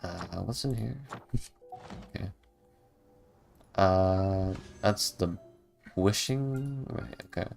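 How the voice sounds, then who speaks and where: Low-voiced man streaming a game, unhurried. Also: gravelly, a little mellow, soft background music. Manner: muttering reactions to himself, humming and singing snatches. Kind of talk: casual live gameplay commentary.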